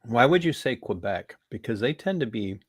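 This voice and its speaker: An American man hosting a podcast, talking politics in a rambling, animated way with restarts and laughs. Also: mid-range voice, moderate pace, slightly rough, dry recording, studio quality. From United States. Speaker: podcast host. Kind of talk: casual political talk.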